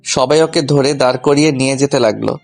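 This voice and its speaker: A man's voice: mid-range voice, fairly quick, vocal fry. A man narrating a scripted first-person story in a colloquial, humorous manner. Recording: music behind the voice.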